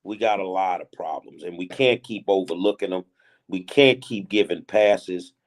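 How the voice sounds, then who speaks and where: A man in his fifties hosts an interview show, speaking casually and with emphasis as he poses questions to his guest. His grammar is loose and conversational, and his voice sits a little on the low side. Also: moderate pace. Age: 50s. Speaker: show host.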